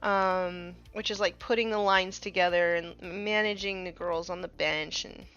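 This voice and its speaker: Chatty woman streamer, soft muffled sound. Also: fairly low pitch, steady tone, a little slow, clean tone. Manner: chatty, many fillers, drifts to a soft murmur. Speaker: streamer painting miniatures. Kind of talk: casual chat while painting.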